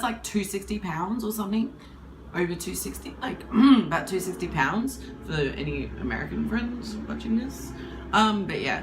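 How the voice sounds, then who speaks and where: Husky young woman, low voice. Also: a little hiss or hum, low-quality recording. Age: 20s. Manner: candid, rambling, with many fillers. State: hay fever. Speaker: vlogger. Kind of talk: casual talk to camera.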